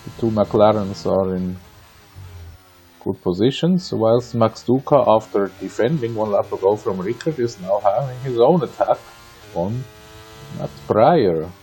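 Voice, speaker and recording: A man's voice: lowish voice, unhurried, dark tone, breathy edge, faint music behind, low-quality recording. A man from Austria with an Austrian accent and German as his native language, giving conversational, wry live racing commentary with playful asides. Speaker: sim racing league commentator.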